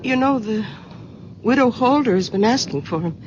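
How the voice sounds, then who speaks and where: Forties daughter played by an actress, worried, protective and hesitant, slightly high voice, muffled old radio drama recording. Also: female voice, animated, normal speed, close to an everyday voice. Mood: uneasy. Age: 40s. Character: anxious, protective forty-year-old daughter, devoted and fretful. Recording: dull, very noisy old radio broadcast.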